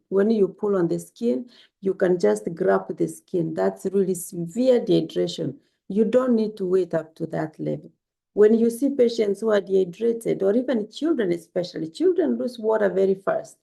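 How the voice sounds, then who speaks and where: Woman, very gravelly, mellow, earnest and instructive, casual health vlog. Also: mid-range voice. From Kenya. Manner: earnest, repetitive, gently instructive. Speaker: health vlogger. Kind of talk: casual spoken health advice to camera.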